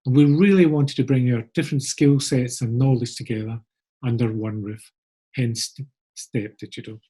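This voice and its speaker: A middle-aged man with a Scottish accent presents in an upbeat, friendly way. His voice is warm and mellow with a slightly husky edge. It sounds like a pre-recorded conference talk. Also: medium pitch, steady tone, normal speed. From Scotland. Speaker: agency co-founder presenting.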